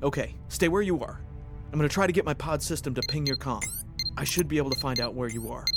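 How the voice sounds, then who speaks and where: A man's voice: mid-range voice, fast talker, music in the background, lightly acted. A man plays a wary military officer in a sci-fi audio drama. He is pragmatic and terse, with a dry, muttering way of talking in a mid-range voice. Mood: commanding, urgent. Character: wary, pragmatic male military officer stranded aboard a hostile spaceship. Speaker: lead actor in audio drama. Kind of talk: science fiction audio drama acting.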